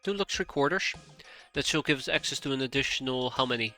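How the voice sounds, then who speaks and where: A man in his thirties talks through a live strategy game stream, stuffy-nosed with a cold. His voice is bright and forceful, sitting at an ordinary pitch for a man. He thinks aloud and wanders off track, with soft game music under him. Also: fast talker. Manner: rambling, thinking aloud, easily sidetracked. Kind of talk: live gameplay commentary, chatting with viewers.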